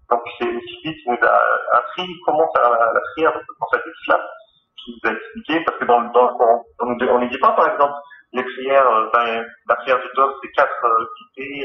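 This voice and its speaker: A man explaining religious points in an impromptu phone discussion, speaking hesitantly and often repeating his phrases. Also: mid-range voice. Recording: dull, echoey phone-call recording.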